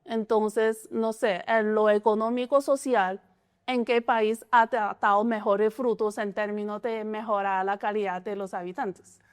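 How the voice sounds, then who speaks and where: A woman's voice: mid-range voice, steady tone, measured pace, smooth. A woman, answering interview questions in a hesitant way, often restarting and filling with "o sea". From China. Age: 40s. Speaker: statistician and interviewee.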